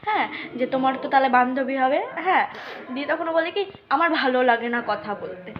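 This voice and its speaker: High-voiced young woman, teasing storyteller. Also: fairly quick. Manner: animated teasing, repeated check-in questions. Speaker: vlogger. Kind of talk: casual storytelling chat. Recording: dull lo-fi recording.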